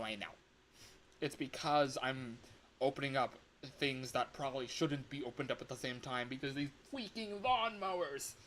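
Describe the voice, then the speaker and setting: A man hosting a casual livestream, rambling in an animated way, exclaiming and often breaking off or repeating his words. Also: medium pitch, a little hiss or hum. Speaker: livestream host, game developer. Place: room at home.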